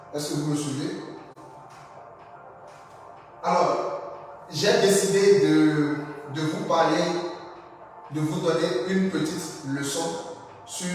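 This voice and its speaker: Man teaching live in an echoey room. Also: fairly high pitch. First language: not French. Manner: repetitive, checks in with audience often. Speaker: trading instructor. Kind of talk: live-streamed lesson to viewers. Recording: echoey room, far from the mic.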